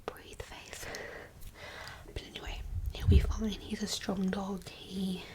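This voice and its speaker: A young woman whispering softly into a close mic as she chats through her makeup, hesitant and rambling with restarts. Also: medium pitch, flat delivery, moderate pace. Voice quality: soft unvoiced whisper. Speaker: beauty vlogger. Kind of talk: whispered ASMR makeup chat.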